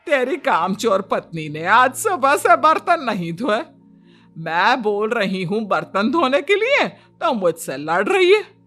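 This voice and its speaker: A woman's voice: on the high side, big pitch swings, slow, fairly bright tone, faint music behind, full character voice. A woman voicing a scolding, bossy middle-aged Indian mother-in-law in a cartoon story, nagging and accusing.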